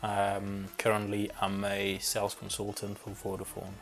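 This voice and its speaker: A man's voice: fairly low pitch, completely flat delivery, moderate pace, faint music behind. A young man from Rwanda who grew up in Belgium, answering interview questions reflectively and searching for words. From born in Rwanda, grew up in Belgium.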